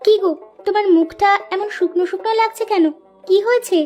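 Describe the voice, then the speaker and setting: A woman's voice: extremely high pitch, quick pace, mellow, soft background music. A woman voicing a caring, bossy village farmer's wife who chides and reassures in a cartoon folk tale. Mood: reassuring.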